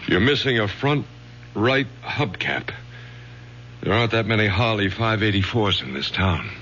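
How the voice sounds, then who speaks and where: An actor playing a weary, testy middle-aged father who speaks haltingly and sternly, in old-time radio drama style. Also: on the low side, lively intonation, bright, forceful tone. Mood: calculating. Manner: halting, stern, repeats himself. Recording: dull, hissy old radio recording.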